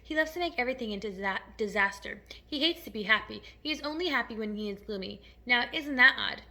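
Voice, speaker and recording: Female storybook ladybug, courteous and sympathetic, very bright tone, performed by a woman. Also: fairly high pitch, animated, fast, gravelly, full character voice. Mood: confiding.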